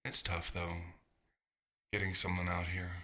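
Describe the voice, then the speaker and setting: Man playing a very deep, slow, wistful vampire. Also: monotone, bright, forceful tone. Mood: calm, musing. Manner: unhurried, murmuring to himself with pauses. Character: nostalgic male vampire doctor, quiet, fastidious, gently wistful. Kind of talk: ASMR roleplay monologue.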